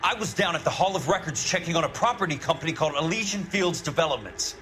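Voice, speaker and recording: A man's voice: fairly high pitch, lively intonation, fast talker, bright, forceful tone, slight background noise. A man acting a tough, sardonic ex-Marine investigator in a noir video game, blunt and dry. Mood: confrontational.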